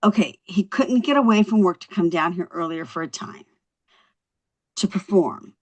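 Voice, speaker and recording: A woman at a script table read playing a quick-witted young housewife, chatty, coaxing and wry. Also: lowish voice, close to an everyday voice. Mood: polite, persistent.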